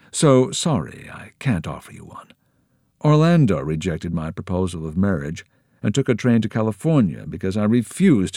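A man voicing an eccentric, greedy adult male hermit with a sing-song manner that swoops up excitedly. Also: low voice, no room sound, studio quality, heavily acted. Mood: possessive.

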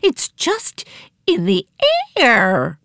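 A woman's voice: a little high, huge pitch swings, unhurried, a bit bright, no echo, studio quality, voice pushed up, full character voice. A woman voicing a chatty, easily flustered woman shopkeeper in a storybook, with a rambling, emphatic manner. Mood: panicked.

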